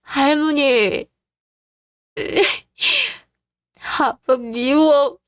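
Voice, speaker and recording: A woman's voice: sits high, expressive, drawn-out words, a bit bright, dry recording, clean studio sound, voice pushed up, heavily acted. A woman playing an emotional teenage girl, attached to her family and resentful, in a radio-drama style story. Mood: hurt, resentful.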